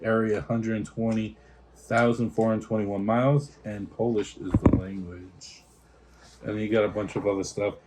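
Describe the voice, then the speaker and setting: Easygoing guy doing a snack taste test, warm mellow voice, faint hiss behind. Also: lowish voice. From United States. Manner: easygoing, stumbles over foreign names. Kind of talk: casual taste-test chat, reading product notes aloud.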